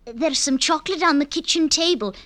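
A coy young sweetheart in a classic radio play, fairly high in pitch. She coaxes with hesitant repetitions and a scheming edge under the romance. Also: a little fast, fairly bright tone. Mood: nervous, scheming. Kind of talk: old-time radio drama acting.

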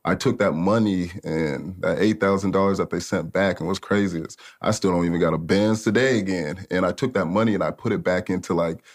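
A man in his late twenties from Africa, a studio owner telling his story in a relaxed, rambling way as a podcast guest, full of slang and fillers. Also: low-pitched, a little fast, hardly any pauses. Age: late 20s.

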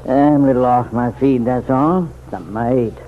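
Through a thin, phone-like vintage radio recording comes a man playing a small-town sheriff with a medium-pitched voice. He sounds worn down and guarded, answering in a laconic, folksy, dryly skeptical way. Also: a little fast, lightly acted. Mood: glum, evasive. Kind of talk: old-time radio drama acting.

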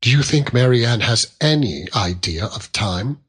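A man's voice: medium pitch, expressive, normal speed, husky. Man acting an earnest young Victorian husband, swooping intonation. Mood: tender, prodding. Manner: reasoning, gently reproving.

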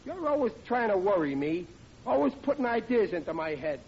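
A man's voice: high voice, sing-song, brisk, a little mellow. A man playing a dim, happy-go-lucky American working stiff who blusters through a 1940s radio comedy. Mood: defensive. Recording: hissy, dull vintage radio broadcast.

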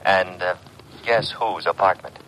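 An actor playing a brisk, wisecracking detective's legman, casual and wry in a classic radio drama. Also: low voice, a bit bright, a little hiss or hum, poor audio. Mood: urgent, wry.

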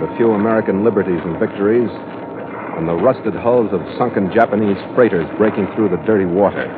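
A man's voice: fairly low pitch, background noise, close to an everyday voice. A man playing a world-weary, hard-boiled sea captain who narrates his log tersely in a 1940s radio drama style. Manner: terse, clipped, dramatic delivery. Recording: tinny old radio recording with music.

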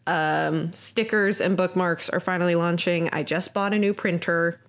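Young woman co-host, slightly low pitch, bright and playful delivery. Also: steady tone, normal speed. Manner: upbeat, teasing, laughs easily. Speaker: podcast co-host. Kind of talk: casual chat.

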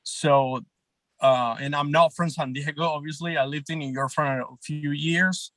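A man's voice: a little high, steady tone, measured pace, fairly bright tone, dry recording. A man, chatting loosely as a band guest and checking in with "right?" as he goes. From Colombia. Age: 30s. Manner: rambling, many restarts and "right?" checks. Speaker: band guitarist and songwriter guest. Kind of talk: casual interview chat.

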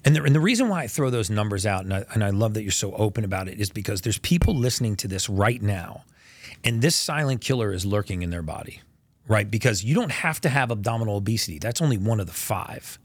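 Male podcast host, low-pitched, casual, punchy delivery, dry sound. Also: quick pace, no echo. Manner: energetic, casual, drops stats with emphasis. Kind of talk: conversational interview.

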